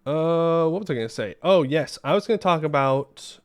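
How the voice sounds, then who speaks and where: A man's voice: fairly high pitch, moderate pace, clean tone. A man chatting casually on a game livestream, reading and answering viewer comments in a playful, joking way and often repeating his phrases. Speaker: game streamer.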